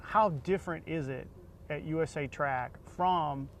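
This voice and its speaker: A man's voice: a little high, unhurried, fairly bright tone, slight background noise. An American man speaking as the host of a conversational interview, putting questions to his guest.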